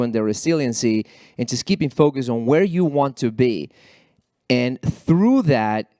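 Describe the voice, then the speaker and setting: Man, spanish native speaker, halting and earnest, mid pitch, dry close sound. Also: darkish tone, no room sound. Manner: halting, repeats words, slow and earnest. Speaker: alumni panelist. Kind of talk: panel discussion remarks. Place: college event panel.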